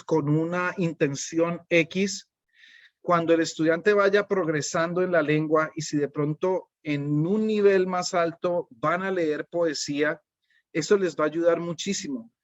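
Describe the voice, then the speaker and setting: A middle-aged Colombian man gives a language-teaching webinar in a relaxed, unhurried way. His voice sits a little high for a man. The sound is dry and dull, like a basic video-call mic. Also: no room sound. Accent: Colombian Spanish. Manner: calm and unhurried, often checking in with the listener. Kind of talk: webinar presentation.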